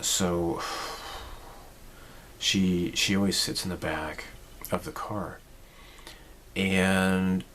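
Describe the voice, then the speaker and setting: A man in his forties speaks to camera in a relaxed, rambling way, circling back and restarting his thoughts. His voice sits low and he takes his time. A faint background hum sits under him. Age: 40s. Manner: rambling, reflective, many fillers and restarts. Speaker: channel host. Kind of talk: casual personal vlog talk.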